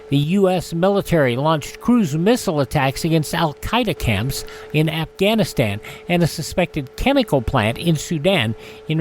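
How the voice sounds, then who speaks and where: Higher-voiced man hosting a radio show. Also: slight background noise. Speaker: radio host. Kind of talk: scripted history rundown with asides.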